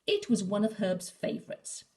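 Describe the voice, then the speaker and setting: A woman storyteller narrating a children's bedtime story expressively and dramatically, sometimes softening toward a breathy near-whisper. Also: medium pitch, big pitch swings, normal speed.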